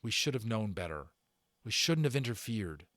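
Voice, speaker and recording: A man's voice: fairly low pitch, sing-song, normal speed, fairly bright tone, no echo, clean studio sound. Man reading as an earnest, regretful boy. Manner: plain, sincere, conversational phrasing. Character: earnest, regretful, gentle-natured young boy. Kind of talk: dramatic storybook character reading.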